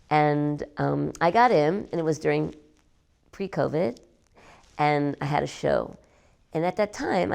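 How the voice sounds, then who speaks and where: A woman artist talking about her work in an interview, animated and emphatic, repeating words for effect. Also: sits low, gravelly. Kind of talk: gallery interview.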